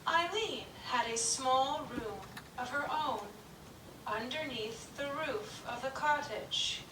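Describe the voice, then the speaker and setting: A woman narrating a children's puppet show story in a slow, gentle, clearly paced reading. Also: high-pitched, background noise. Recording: echoey room, distant mic.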